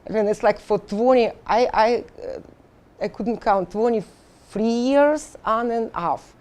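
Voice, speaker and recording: A middle-aged woman from Russia with a Russian accent, an engineering instructor answering interview questions haltingly with many fillers and restarts. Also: on the high side, a little mellow.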